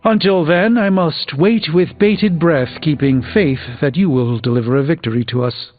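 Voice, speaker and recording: Man playing proud male sorcerer, formal, measured, wistful, theatrical game dialogue, muffled audio, music behind. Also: on the high side, lightly acted. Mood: wistful, solemn. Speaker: video game voice actor. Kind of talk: dramatic video game dialogue.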